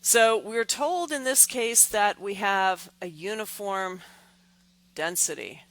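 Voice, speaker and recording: A woman explaining as an engineering instructor, step by step, in deliberate, halting phrases with repeated words. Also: mid-range voice, fairly bright tone, poor audio.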